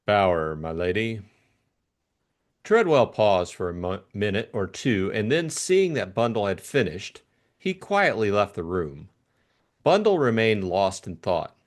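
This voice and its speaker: A man narrating a classic novel as an expressive audiobook reader. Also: lowish voice, unhurried.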